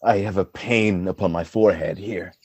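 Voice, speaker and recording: Man acting a husky, jealous noble general. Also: low-pitched, fairly even intonation, moderate pace. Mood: subdued. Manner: theatrical, declamatory verse delivery. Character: noble general husband sliding into anguished jealousy. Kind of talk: Shakespearean radio play acting.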